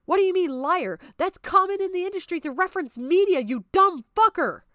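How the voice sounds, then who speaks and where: A woman's voice: extremely high pitch, animated, brisk, sharp tone, dry recording, clean studio sound. A woman giving off-the-cuff, argumentative stream commentary in a blunt, exasperated, sweary manner. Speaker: streamer reacting to a video.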